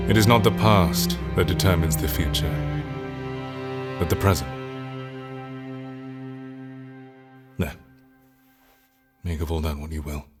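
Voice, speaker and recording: A grave scholar looking back on his life's research in an audio drama. His voice is very low, measured and reflective, with heavy music behind it. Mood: grave advice. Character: solemn weary male scholar, archaeologist and historian. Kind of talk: dramatic fiction podcast monologue. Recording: voice under prominent music.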